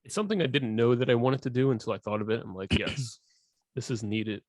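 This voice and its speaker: A man co-hosting a casual podcast, blunt and opinionated, who often clears his throat. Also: mid-range voice, a little fast, no echo. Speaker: podcast co-host.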